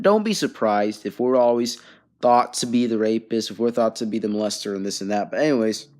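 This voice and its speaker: A man from Bangladesh hosting a casual news commentary, rambling with lots of fillers and restarts. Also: medium pitch. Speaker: host.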